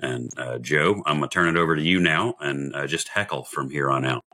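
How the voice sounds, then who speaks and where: A man hosting a podcast, his voice scratchy from days of shouting, talking in a jovial, teasing, rambling way. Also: extremely low pitch, fairly quick. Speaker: podcast host. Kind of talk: casual podcast chat.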